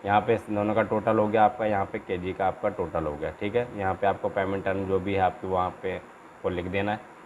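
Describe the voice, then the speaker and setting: A man's voice: sits low, steady tone, a little fast, darkish tone, highs cut off. A man with an Indian accent giving an informal step-by-step tutorial as a trainer, repeating himself and often checking in with short tags. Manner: repetitive, with frequent check-in tags. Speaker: export-import trainer presenting a tutorial. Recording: noticeable background noise.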